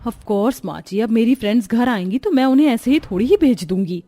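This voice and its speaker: Snooty young daughter-in-law, arrogant, defiant, high voice, faint music under. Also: female voice, animated, brisk, mellow, dry recording. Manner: snooty, mixes in English. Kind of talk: cartoon voice acting.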